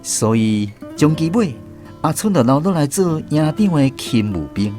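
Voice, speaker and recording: A man's voice: fairly high pitch, big pitch swings, moderate pace. A man with a Taiwanese accent playing a former serviceman who wryly narrates barracks memories in a radio drama. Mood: wry, reflective. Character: former army serviceman recounting barracks memories, wry storyteller. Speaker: narrator, a former soldier in the story. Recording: music bed behind the voice.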